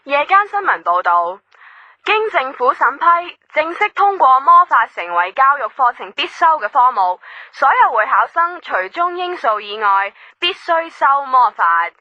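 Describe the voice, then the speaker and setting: An actress with a Hong Kong Cantonese accent playing a formal late-night news announcer in a radio comedy. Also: female voice, high-pitched, sing-song, measured pace, dry recording, lo-fi sound. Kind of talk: news bulletin parody in radio drama.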